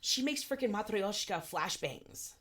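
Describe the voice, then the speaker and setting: A woman in her twenties co-hosting a podcast, chatting casually with animated, swearing asides. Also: medium pitch, a little slow. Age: 20s. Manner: animated, swearing, self-deprecating. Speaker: podcast co-host. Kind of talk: casual chat.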